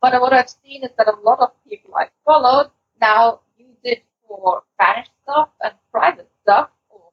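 A woman's voice: a little high, normal speed, slightly rough, highs cut off. A woman co-hosting a podcast in casual chat, hesitant and searching for her words. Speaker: podcast co-host.

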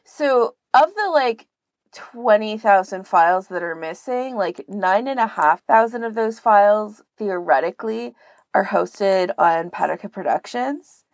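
A woman's voice: medium pitch, lively intonation, a little slow, dry recording. A woman explaining things in a casual podcast chat, informally and with plenty of filler words. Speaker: podcast guest and volunteer archivist.

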